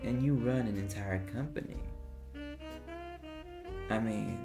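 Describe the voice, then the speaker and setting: An ancient, alluring incubus played by a man speaks in a low Southern drawl with a heavy fry. He is suave and teasing, addressing the listener intimately as in a roleplay audio drama, over soft music. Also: slow pace. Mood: flirtatious, teasing. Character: alluring male incubus, ancient suave flirtatious business dealer.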